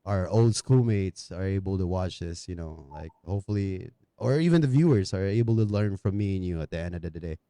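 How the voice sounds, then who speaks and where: A man's voice: low voice, animated, a little fast, few pauses, warm tone, dry recording. A man hosting a podcast, chatting casually in slangy, informal language as he interviews a guest. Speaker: podcast host.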